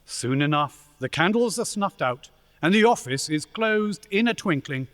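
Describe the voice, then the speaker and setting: An actor narrating a radio play as a measured, dramatic storyteller of a gloomy ghost tale. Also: male voice, fairly high pitch, expressive, a bit bright, no echo, clean studio sound.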